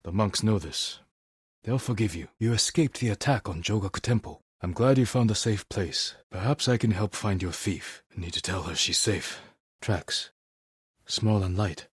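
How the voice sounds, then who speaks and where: An actor playing a stoic, honorable samurai warrior in a video game, speaking in a measured, formal and grave way. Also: male voice, fairly low pitch, slightly rough, dry recording, clean studio sound. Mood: calm, solemn. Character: stoic, honorable samurai warrior, adult man, solemn and protective. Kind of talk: dramatic video game acting.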